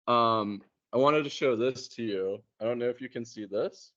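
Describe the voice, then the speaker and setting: A man in casual conversation, talking in a hesitant, halting way and often repeating words. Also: mid-range voice, a bit bright. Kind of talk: casual chat. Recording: muffled, dull lo-fi sound.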